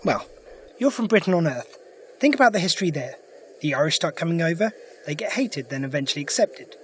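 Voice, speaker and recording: An actor playing a sharp, principled alien adventurer in an audio drama, brisk and inquisitive. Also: male voice, a little high, sing-song, slight background noise. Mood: thoughtful, brisk. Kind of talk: full-cast audio drama acting.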